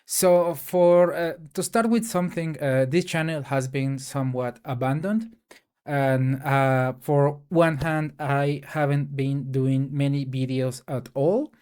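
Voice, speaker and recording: A man's voice: fairly high pitch, takes it slowly, a little mellow, clear, resonant tone. A man from Mexico, a native Spanish speaker with a Mexican accent, giving a casual, hesitant solo rant to camera with many fillers and restarts. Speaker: tech YouTuber.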